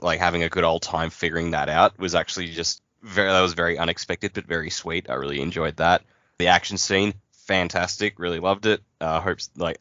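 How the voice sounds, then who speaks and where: Male podcast co-host, deep and bright-toned, loose rambling delivery, lo-fi. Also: no room sound. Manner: rambling, thinks aloud with fillers and restarts. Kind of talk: casual book club chat.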